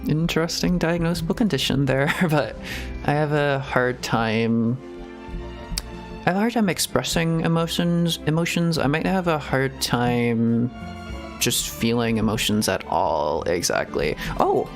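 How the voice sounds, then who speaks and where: A man speaks casually as he streams a game, with music playing behind him. His pitch sits in an ordinary male range. He rambles in a hesitant, confessional way and tends to chuckle at himself. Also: animated, unhurried. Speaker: streamer reacting to a video game.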